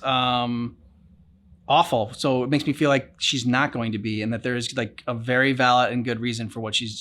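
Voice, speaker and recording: A man co-hosting a game reaction, chatting informally and thinking aloud in halting phrases. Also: medium pitch, fairly bright tone. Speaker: reaction channel host. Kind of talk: casual commentary chat on a video game.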